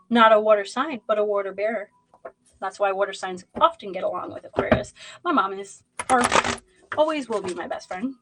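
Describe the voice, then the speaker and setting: A woman's voice: on the high side, pushed and bright, slight background noise, poor audio. A woman hosting an informal livestreamed tarot reading, talking straight at the listener in a blunt, emphatic and dramatic way. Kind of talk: informal live zodiac card reading.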